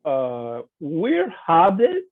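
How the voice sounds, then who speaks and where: Slow, high-pitched man, wildly swooping voice. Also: wildly swooping pitch, dry recording, narrow, tinny audio. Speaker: actor in a table read. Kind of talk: theatrical script reading with verse.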